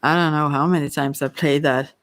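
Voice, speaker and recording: A woman's voice: low-pitched, steady tone, fairly quick, dry recording. A middle-aged woman giving a casual, rambling tarot reading in a hesitant, candid, digressive way. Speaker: tarot reader.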